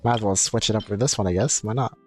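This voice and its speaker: A man's voice: lowish voice, quick pace, darkish tone, soft background music. A man giving casual live gaming commentary as a streamer, rambling and thinking aloud. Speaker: game streamer.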